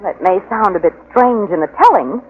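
An actress as a kind, spirited lady companion who is easily astonished. Her voice is very lively in pitch, in 1940s radio style. The old recording sounds muffled and a bit noisy. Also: brisk, close to an everyday voice. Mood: uneasy. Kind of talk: 1940s radio drama acting.

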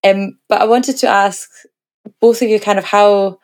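A woman's voice: mid-range voice, steady tone, a little slow, a little mellow. A woman interviewer talking conversationally and discursively, thinking aloud as she builds toward her questions.

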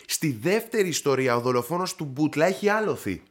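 An eager young crime writer. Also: male voice, a little high, lively intonation.

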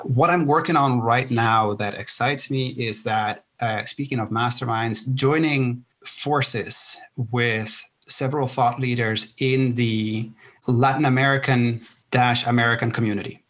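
A man with Spanish as his first language, raised in the Netherlands by Chilean parents, talks as a guest coach. His voice sits at an ordinary middle pitch. He explains ideas step by step in a calm, reflective manner. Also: unhurried. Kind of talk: interview conversation.

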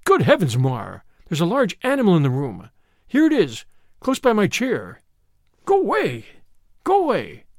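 A man voicing an alarmed gentleman in a ghost story audiobook, urgent and exclamatory. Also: high voice, huge pitch swings, normal speed, dry recording, studio quality, exaggerated voice. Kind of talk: dramatic audiobook character reading.

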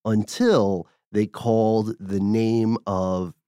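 A man's voice: lowish voice, flat delivery, takes it slowly, warm tone, clean tone, no echo, studio quality. An American man co-hosting a podcast, telling a story conversationally in a playful, wry way with mock-formal phrasing. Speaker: podcast co-host.

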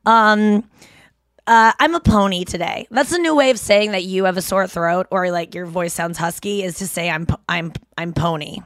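A woman hosting her podcast in casual, rambling chat, a little hoarse, with lots of fillers and restarts. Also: fairly low pitch, normal speed, fairly bright tone, no room sound, studio quality. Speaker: podcast host.

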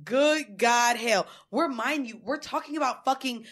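Brash, loud-mouthed woman podcast host. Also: a little high, a little slow. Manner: brash, swears a lot, laughs often. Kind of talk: loud crude banter.

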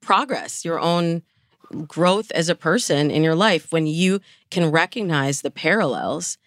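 A woman's voice: low voice, animated, measured pace, dry recording, studio quality. A woman speaking as a guest dating coach in a podcast interview, explaining advice and acting out imagined inner thoughts as examples.

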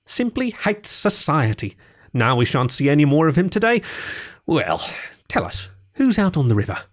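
A man voicing a friendly, easygoing gentleman river rat from a storybook, chatty and cheery. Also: on the high side, huge pitch swings, normal speed, pitched above the performer's own voice. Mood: chatty, wry. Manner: cheery, chatty, old-fashioned British idiom. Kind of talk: storybook character voice.

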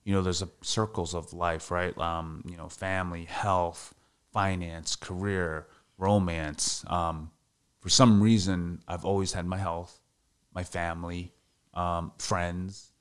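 A man's voice: sits low, little pitch movement, slow, smooth. A man in his thirties talking candidly in a casual podcast interview, reflective and full of fillers. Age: 30s. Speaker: podcast guest.

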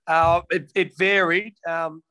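A man's voice: on the high side, little pitch movement, slow pace, bright, forceful tone. An Australian man from Western Australia in his thirties, answering interview questions casually with many ums and restarts. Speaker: interviewee, former footballer.

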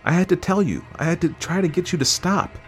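A man's voice: lively intonation, fairly quick, a little mellow, soft background music, natural voice. A man voicing a defiant, exasperated wife with an indignant manner in an audiobook story. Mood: defensive, pleading. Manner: indignant, raised pitch.

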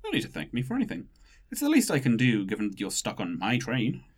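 A considerate, reserved time-travelling captain for audio drama: formal wording, highly animated pitch. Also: fast talker, breathy edge. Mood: kind. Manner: formal, courteous phrasing.

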